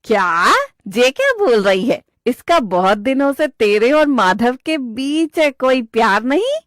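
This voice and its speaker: A woman's voice: on the high side, animated, unhurried, dry recording. A woman puts on an older village mother-in-law for a cartoon story. She has a rustic North Indian accent and scolds in a blunt, bossy, earthy way. The recording is very dry. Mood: alarmed.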